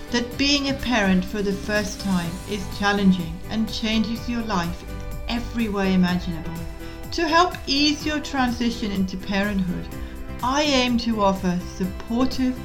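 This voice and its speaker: A woman's voice: mid-range voice, low-quality recording. Woman podcast host, faint music behind. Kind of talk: scripted intro and interview.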